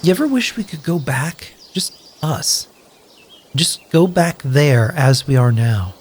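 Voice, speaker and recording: An actor playing a sincere, joking wasteland survivor in an audio drama, speaking earnestly and hesitantly with wry, profane humour. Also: male voice, a little high, expressive, takes it slowly, darkish tone, a little hiss or hum. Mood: wistful. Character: sincere, grateful, joking male wasteland survivor, loyal friend. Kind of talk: audio drama dialogue, naturalistic.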